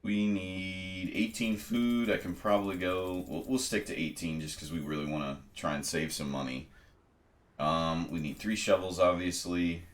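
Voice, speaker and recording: A man's voice: low voice, steady tone, moderate pace, reverberant. A congested man methodically explaining a video game as he plays, talking through each choice with frequent ums. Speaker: let's play host. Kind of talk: casual gameplay commentary and tutorial.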